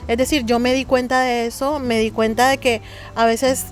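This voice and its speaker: Brief: middle-aged Colombian woman, Mexican-tinged accent, fairly high voice, hesitant and earnest, soft music underneath. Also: level tone, normal speed. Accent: Colombian Caribbean with Mexican touches. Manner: earnest, hesitant with frequent fillers. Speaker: interview guest. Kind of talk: casual interview conversation.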